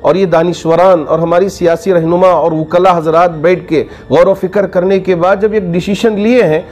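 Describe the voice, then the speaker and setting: A man, a cleric answering questions in a formal, measured and earnest way. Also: high-pitched, fairly even intonation, darkish tone.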